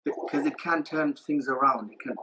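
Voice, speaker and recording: A man hosting an interview, agreeable and halting, asking a guest questions. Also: medium pitch, noisy background. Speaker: interview host. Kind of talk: casual interview chat.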